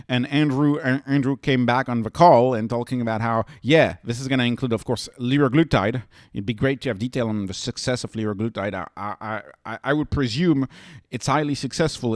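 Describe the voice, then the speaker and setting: A man with a medium-pitched voice hosts an unscripted commentary. He speaks haltingly, often doubling words and restarting phrases as he works through his thoughts. The sound is dry, with very little room in it. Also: dry recording. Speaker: stock commentary host. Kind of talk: unscripted solo earnings-call commentary.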